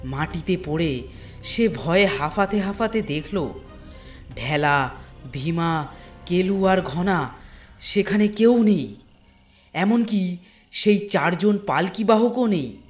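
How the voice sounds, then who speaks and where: A man narrating a scripted horror story in a deliberate way, with dramatic pauses between phrases. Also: high voice, animated, soft background music.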